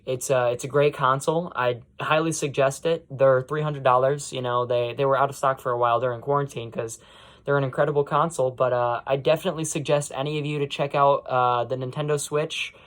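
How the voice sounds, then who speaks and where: A young man with a mid-pitched voice talks as a podcast host in a casual, off-the-cuff way. He rambles from one thought to the next and leans often on "you know" as he goes. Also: a bit bright. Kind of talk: casual unscripted podcast monologue.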